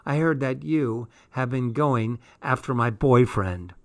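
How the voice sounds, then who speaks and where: A man's voice: mid-range voice, a little slow, no room sound, studio quality, natural voice. A man voicing a haughty teenage girl, a jealous rival in an audiobook, cold and condescending. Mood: coldly accusing.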